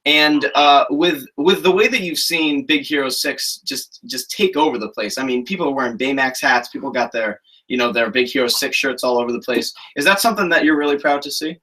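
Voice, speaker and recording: A man's voice: mid-range voice, brisk, hardly any pauses, pushed and bright, breathy edge, low-quality recording. A young man hosting a casual interview from his bedroom on a webcam, eager and chatty. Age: young adult. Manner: eager, chatty, laughs easily. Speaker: show host. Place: bedroom with shelves of toys.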